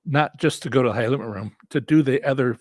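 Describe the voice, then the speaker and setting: Husky, slightly worn-voiced man teaching casually. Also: mid-range voice, darkish tone, no room sound, studio quality. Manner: halting, rambling, repeats and restarts phrases. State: voice worn from a lot of talking. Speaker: host and instructor of a live stream on slots strategy. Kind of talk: casual live-stream teaching and audience Q&A.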